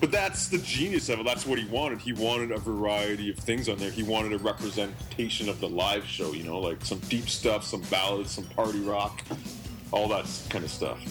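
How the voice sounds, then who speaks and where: A man's voice: lowish voice, normal speed, music in the background. A man speaking as a podcast guest on an internet call, casual, agreeable and enthusiastic. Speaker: podcast host appearing as guest. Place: remote Skype call.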